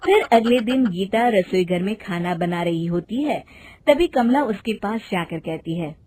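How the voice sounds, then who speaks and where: A woman narrating an animated storybook in a plain, even storytelling manner. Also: mid-range voice, a little slow, slight background noise.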